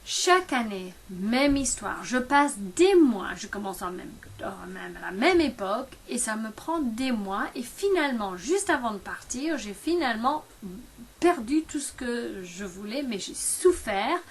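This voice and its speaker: A woman in her fifties talking casually to camera about her own experience, halting often with fillers and restarts. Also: fairly high pitch, very animated, husky. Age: 50s. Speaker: product distributor.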